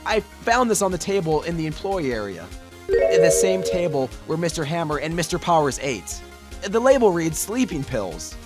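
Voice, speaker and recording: Casting: male actor as young defense attorney for a game fandub, high voice, earnest, declamatory, music underneath. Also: big pitch swings, moderate pace. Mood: assertive. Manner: declamatory courtroom argument. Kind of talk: amateur anime-game fandub acting.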